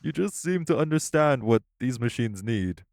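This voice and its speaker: A man playing a composed, self-assured tech company boss in an audio roleplay, speaking in a polished, measured, articulate way. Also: mid-range voice, lively intonation, gravelly, a little mellow, dry recording. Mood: teasing, curious. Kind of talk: audio roleplay acting, one-sided dialogue.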